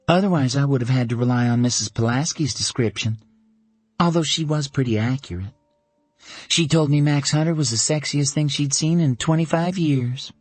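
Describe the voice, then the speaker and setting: A man voicing a sharp-witted woman who teases her best friend with dry, quick banter. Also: medium pitch, no echo, pitched above the performer's own voice, close to an everyday voice. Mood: playful.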